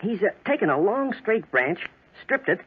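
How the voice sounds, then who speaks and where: A man's voice: sits high, big pitch swings, moderate pace, breathy edge, exaggerated voice. An actor playing a teasing, folksy country boy, someone's younger brother, in a 1940s radio drama. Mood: wary. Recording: muffled 1940s radio recording.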